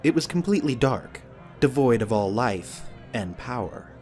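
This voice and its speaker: A man's voice: medium pitch, expressive, moderate pace, a little mellow. A man reading scripted narration for an audio drama. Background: music.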